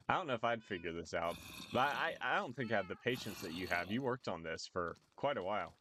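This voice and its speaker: A man's voice: on the low side, expressive, fairly quick, background noise. A man hosting a gaming stream, chatting casually and agreeably. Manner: agreeable, short acknowledgements.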